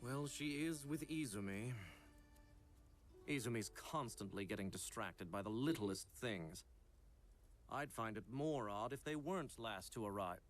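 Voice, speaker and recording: A man playing a grave, watchful young hunter who speaks in a measured, dramatic way. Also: mid-range voice, faint music behind, lightly acted. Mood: matter-of-fact.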